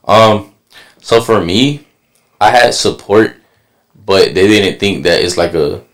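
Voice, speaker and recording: A man from Puerto Rico with a very low voice chats about his barbering work. His speech is loose and easygoing, peppered with "like" and "you know". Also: takes it slowly. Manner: loose, conversational, frequent "like". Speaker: barber, podcast panelist. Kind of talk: casual panel chat.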